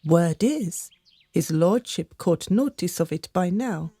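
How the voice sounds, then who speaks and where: A woman's voice: lowish voice, animated, slow, mellow, breathy edge, no echo, studio quality, heavily acted. A woman playing a fretful, gossiping servant of a noble house in a radio drama, melodramatic and confiding. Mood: gossipy, pitying.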